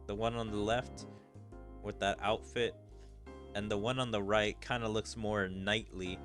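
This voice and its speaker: A man's voice: fairly low pitch, fairly bright tone. A man giving casual let's-play commentary, musing and thinking aloud as he goes. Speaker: gaming video creator. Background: game music.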